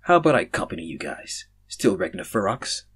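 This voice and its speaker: Man voicing a husky, bewildered, panicky young stranger. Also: sits low, very animated, fairly quick, a bit bright, faint music behind, heavily acted. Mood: earnest.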